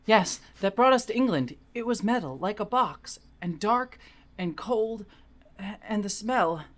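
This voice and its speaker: A reader voicing a frightened young foreign woman who pleads timidly in halting English, as in an audiobook romance. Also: mid-range voice, lively intonation, fairly bright tone. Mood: frightened, apologetic. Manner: halting non-native English phrasing.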